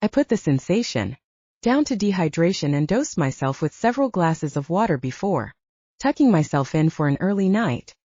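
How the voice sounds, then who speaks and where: A woman's voice: on the low side, big pitch swings, normal speed, mellow, no echo, highs cut off. A woman reading scripted first-person fiction as an audiobook narrator.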